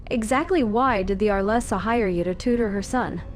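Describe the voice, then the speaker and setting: A woman's voice: mid-range voice, a little fast, lightly acted. A woman voicing a composed young female warrior hero in a fan-voiced video game. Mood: calm. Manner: calm, direct, questioning.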